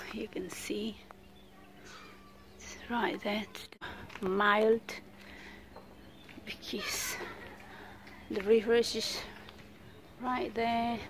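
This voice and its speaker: A woman's voice: fairly high pitch, a little hiss or hum. A woman narrating a casual walking tour outdoors, chatty, with many fillers and frequent laughs, tired from the heat. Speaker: vlogger. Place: outdoors at a beach park with trees.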